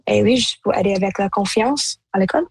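A woman's voice: mid-range voice, creaky, husky, dry recording. A young woman student whose first language is Punjabi, answering interview questions with many hesitations. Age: young adult. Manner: halting, many fillers and restarts. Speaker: student guest.

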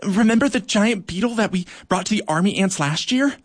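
A man's voice: high voice, lively intonation, a little fast, dry recording, pitched above the performer's own voice. Storybook ant, female, timid, stammering, gasping, near the reader's own voice, read by a man. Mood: nervous. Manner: stammering, nervous.

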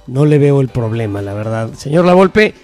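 A man's voice: medium pitch, animated, moderate pace, darkish tone, faint music behind. A man with a Mexican accent co-hosting a talk show, chatting casually with playful, slangy banter. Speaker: co-host of an entertainment talk show. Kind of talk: casual comedic chat.

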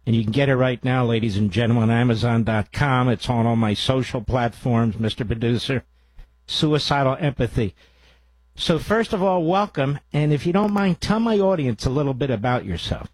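A male radio talk show host with a rough-edged, husky voice. He addresses listeners and guests in an interview format, recorded close and dry with no room sound. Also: medium pitch, moderate pace, dry recording, low-quality recording.

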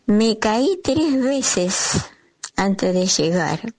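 A woman's voice: medium pitch, big pitch swings, extremely slow, a little mellow. A woman calling in to a radio show, telling a personal anecdote in a chatty way. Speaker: caller. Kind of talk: radio call-in.

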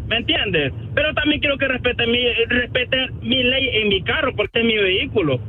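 A man's voice: very high voice, a little fast, husky. A man from Honduras, calling in to a radio show and defending himself. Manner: defensive, insulting back. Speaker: caller. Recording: tinny phone line.

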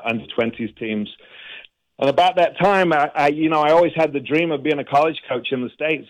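Man with a flat, steady voice. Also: mid-range voice, low-quality recording. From England. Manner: grateful, earnest, flowing storytelling. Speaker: basketball coach guest. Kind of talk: radio interview answers.

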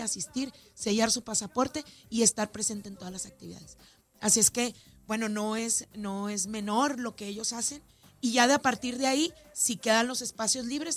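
A woman with a northern Mexican accent speaking as an event organizer in a conversational interview, talkative and emphatic, repeating phrases for stress. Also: medium pitch. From Ciudad Juárez, Mexico. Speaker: festival organizer being interviewed. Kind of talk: conversational radio or media interview.

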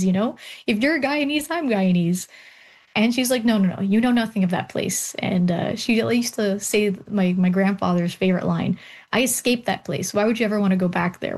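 A young woman, a doctoral researcher, talks as an interview guest about her work and her family. Her storytelling is animated, full of laughs and restarted thoughts. Her pitch sits in the middle.

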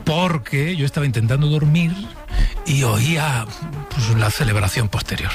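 A man's voice: medium pitch, moderate pace, over music. A man with a Spanish accent from Spain hosting a radio show in casual, teasing studio banter. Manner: teasing, jokey. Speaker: radio host. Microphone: studio microphone.

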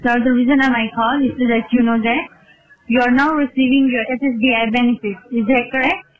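A woman's voice: a little high, steady tone. Hesitant woman telemarketer on a dull line. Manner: hesitant, halting. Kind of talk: scripted sales call.

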